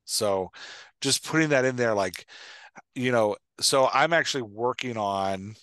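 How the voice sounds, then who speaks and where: A man's voice: mid-range voice, unhurried, a bit bright, clear, resonant tone, dry recording. A man in his forties chatting casually as he explains things, thinking aloud and restarting his sentences on a headset mic. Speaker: podcast host and writing coach. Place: indoor room on a video call.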